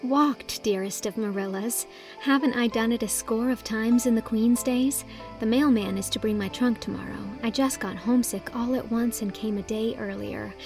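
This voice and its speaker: A woman's voice: a little high, moderate pace, darkish tone, soft background music, lightly acted. A woman voicing a dreamy, romantic young woman who gushes in a rapturous, lyrical way.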